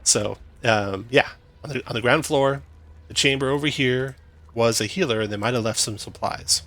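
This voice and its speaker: A man acting as game master, narrating a tabletop game in a relaxed, explaining way with fillers. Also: medium pitch, normal speed.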